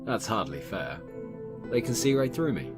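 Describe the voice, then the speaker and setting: A man's voice: lowish voice, big pitch swings, moderate pace, over music. A man playing a weary, wary newcomer in an audio drama, speaking in a reserved, hesitant, understated way. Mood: awkward, tired. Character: weary, wary male newcomer, an armoured wanderer.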